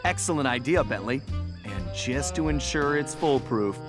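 Guy doing a smooth cocky thief hero, dry and chill, game music behind. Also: medium pitch, animated, moderate pace, lightly acted. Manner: smooth, laid-back, wry. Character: suave young male raccoon thief hero, cool and cocky. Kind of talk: video game cutscene acting. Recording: game score underneath.

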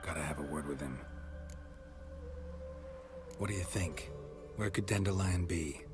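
A stoic monster hunter from a video game, with an extremely deep voice. He is laconic and deadpan, dry in his wit, over a music backdrop. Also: measured pace. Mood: wry, probing.

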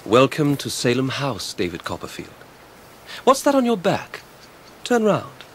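An English actor playing a confident, patronising teenage schoolboy in a radio drama, with a smooth, lordly manner. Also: male voice, mid-range voice, huge pitch swings, unhurried, a bit bright, a little hiss or hum, exaggerated voice. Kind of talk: British radio drama acting.

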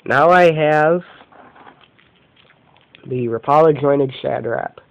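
A man giving an unscripted show-and-tell as an amateur vlogger, talking in halting, short phrases on a camera microphone indoors. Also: a little high, vocal fry. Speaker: amateur fishing vlogger showing lures. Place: indoors, carpeted room at home. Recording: dull, muffled lo-fi home video.

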